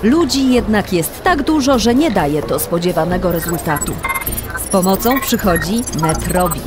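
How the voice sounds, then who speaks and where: A woman's voice: medium pitch, animated, moderate pace, over loud music, natural voice. A woman narrating a children's adventure in a scripted audio drama. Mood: storytelling. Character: female storyteller of a kids' adventure.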